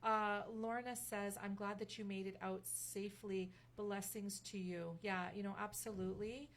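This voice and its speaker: A woman's voice: medium pitch, steady tone, moderate pace. A woman hosts a live, casual radio talk show. Her voice sits in a middle register, and she is effusive and affirming as she reads viewer comments. There is faint noise behind her. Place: radio studio.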